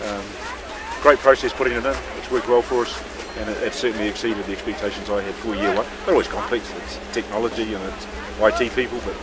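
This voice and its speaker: NZ businessman interviewed outdoors, low voice, halting delivery, loud noise behind. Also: steady tone. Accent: New Zealand. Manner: halting, many restarts. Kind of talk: interview answers. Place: outdoors at a ski field.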